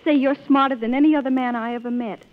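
A woman's voice: sits high, steady tone, quick pace, soft background music, close to an everyday voice. A young American woman playing a weary, earnest daughter pleading with her swindler father in a 1930s radio drama. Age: young adult. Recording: dull, noisy old radio recording, hall reverb.

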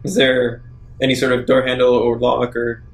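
A man with a creaky, gravelly edge plays in a tabletop roleplaying session. His pitch is medium, and he speaks in short, casual questions and remarks. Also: monotone, normal speed, roomy sound. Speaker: roleplaying game player. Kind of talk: casual tabletop game chat.

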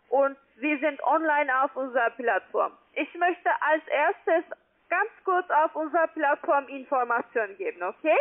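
A woman with a foreign accent giving a halting, formulaic sales pitch as a call centre agent. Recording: tinny narrow-band phone line.

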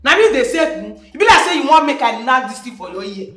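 A woman's voice: high voice, big pitch swings, fast talker, music in the background. A woman with a Nigerian accent playing a jealous, bossy, threatening wife in a comedy skit. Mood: menacing.

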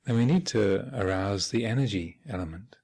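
Man, fairly low pitch, meditation teacher, guided instruction, measured phrasing, frequent pauses. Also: vocal fry, darkish tone. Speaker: Buddhist monk and meditation teacher leading a retreat. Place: meditation hall at a retreat center.

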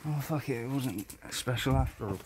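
A man's voice: mid-range voice, moderate pace. A man giving casual, sweary exploring commentary, muttering to himself and to viewers on a handheld recording indoors. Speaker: urban exploration vlogger. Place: indoors in a cluttered, dusty abandoned house. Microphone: handheld phone or camera. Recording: noisy handheld recording with clicks.

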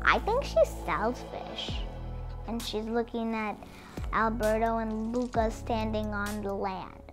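A woman's voice: fairly high pitch, lively intonation, slow pace, music in the background. A young girl reading a picture book aloud and chatting about the pictures to her viewers. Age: child. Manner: reads text loudly, comments softly to viewers. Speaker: storybook reader.

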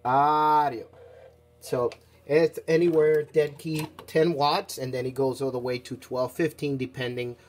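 A man presenting an unscripted product demonstration as a seller, explaining each step casually with a halting, instructional delivery. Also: medium pitch, steady tone, a little hiss or hum.

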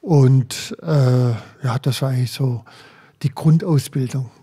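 A man in his sixties with a slight Berlin accent, recalling his past in an interview at a desk mic in a small room, halting with many fillers. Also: medium pitch, mellow, slightly rough. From Berlin, Germany. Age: 60s. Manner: halting, many fillers, slows when remembering. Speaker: guest, former footballer. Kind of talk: interview, personal recollections. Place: small room with window, seated at table. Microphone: desk podcast mic.

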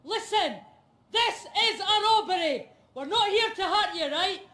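A Scottish woman bank robber in a video game, swearing with jovial menace. The voice is raised very high, bright and hard, in an echoey space. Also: expressive, measured pace, heavily acted. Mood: menacing. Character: gruffly jovial, foul-mouthed female bank robber. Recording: echoing room sound.

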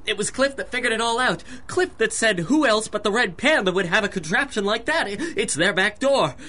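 An actor playing a cowardly, grouchy small-time crook in a 1930s radio drama, talking in stammering slang. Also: male voice, high voice, expressive, a little fast, pushed and bright. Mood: pleading. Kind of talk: 1930s radio gangster acting.

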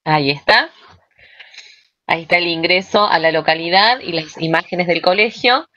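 Bright middle-aged woman presenting, dull call audio. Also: fairly low pitch, normal speed. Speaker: workshop presenter. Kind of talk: online presentation. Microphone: video call.

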